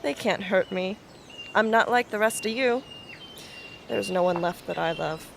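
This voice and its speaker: A woman's voice: mid-range voice, unhurried, gravelly, fairly bright tone, slight background noise. A woman playing an abrasive, defiant young survivor in an amateur radio drama, blunt and scornful.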